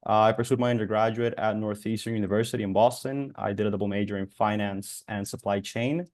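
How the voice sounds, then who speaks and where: A man's voice: lowish voice, fairly even intonation, fast talker, darkish tone, dry recording, clean studio sound. A man from Venezuela who grew up in Brazil, introducing himself politely as an alumni panelist over a video call. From Venezuela, raised in Brazil.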